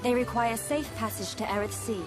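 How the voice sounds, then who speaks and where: A woman acting as a proud, dutiful young noblewoman from a video game. Her voice sits a little high and she speaks with formal, measured gravity, with music clearly behind her. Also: lightly acted. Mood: grave, composed.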